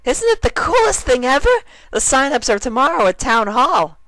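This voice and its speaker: A cheerful young mare pony from a storybook, pitched very high. The delivery is bubbly and childlike, swinging widely in pitch with innocent eagerness. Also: male voice, big pitch swings, moderate pace, pushed and bright, no echo, full character voice, low-quality recording. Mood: excited. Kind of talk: fan fiction character reading.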